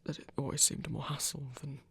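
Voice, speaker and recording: An actor playing a shy, insecure werewolf hunter in an intimate ASMR romance, stammering in a breathy, gravelly voice. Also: mid-range voice, steady tone, fairly quick, no echo. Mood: bashful. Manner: hesitant, stammering, self-doubting.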